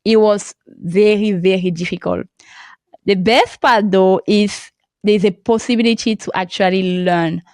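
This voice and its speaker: A young woman from Cameroon, a French speaker with a Cameroonian accent, talking as a podcast guest in a halting way and laughing often. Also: mid-range voice, steady tone, darkish tone, no echo. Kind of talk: casual interview talk.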